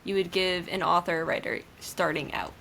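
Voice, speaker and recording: A woman's voice: fairly low pitch, fairly even intonation, normal speed, vocal fry, a bit bright, slight background noise, rough recording. A woman co-hosting a podcast, asking questions casually with lots of fillers and giggles. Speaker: podcast co-host.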